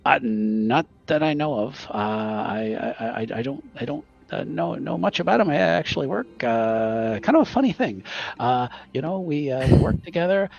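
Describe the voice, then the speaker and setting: A man's voice: mid-range voice, animated, moderate pace, creaky, voice pushed up. A man doing a stammering, flustered kinfolk guy. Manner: stammering, hesitant, filler-heavy. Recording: slightly muffled, faint music.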